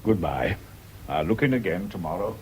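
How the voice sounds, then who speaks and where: An actor playing a worldly older English doctor in a radio drama, dry, sardonic and given to philosophising. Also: male voice, low-pitched, lively intonation, moderate pace, a little mellow. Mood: wry, brisk. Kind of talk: BBC radio drama acting. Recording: noisy radio drama recording.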